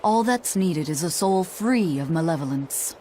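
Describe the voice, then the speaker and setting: A woman playing a calm, knowing scholar in a fantasy video game, explaining things in a measured way. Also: lowish voice, slight background noise. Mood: calm, explanatory.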